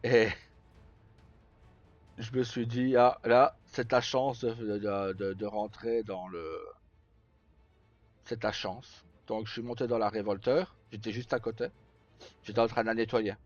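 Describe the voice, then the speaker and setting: A man live streaming, casually telling roleplay stories in a rambling, halting way with pauses mid-sentence. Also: lowish voice, little pitch movement. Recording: slightly muffled, roomy, faint music. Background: background music.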